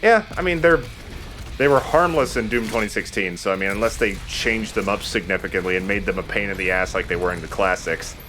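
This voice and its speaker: A man with a fairly low voice gives casual let's play commentary as host. Game music plays behind him. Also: brisk, fairly bright tone.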